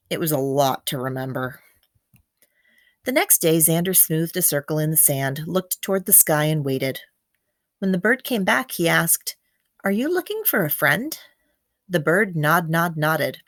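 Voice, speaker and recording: A woman with a lowish voice reads a picture book aloud in a close, dry recording. Her storytelling is expressive, and she lingers on key words for emphasis. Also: no echo. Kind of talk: expressive children's storybook narration.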